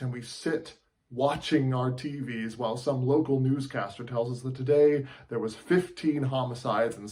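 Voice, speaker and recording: A man's voice: medium pitch, a little mellow, lightly acted. A man acting a fed-up TV newsman in a dramatic film monologue, speaking with urgent, impassioned oratory. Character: fed-up male TV newsman delivering an impassioned rant.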